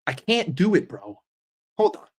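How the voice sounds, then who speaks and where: A man's voice: fairly high pitch, very animated, measured pace, husky. Profane young man chatting on stream. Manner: profane, joking, reacting to chat. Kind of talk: casual livestream chat.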